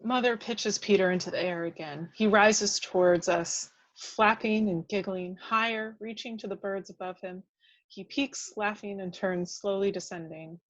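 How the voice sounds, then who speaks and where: A woman's voice: medium pitch, fairly even intonation, normal speed, no room sound, poor audio. A woman reading scripted stage directions as the narrator of an amateur table read.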